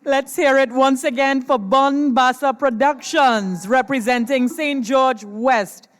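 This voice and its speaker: Female MC, formal and deliberate stage announcing, long hall reverb. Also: high voice. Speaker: host, master of ceremonies at a competition. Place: large performance venue, over a PA system.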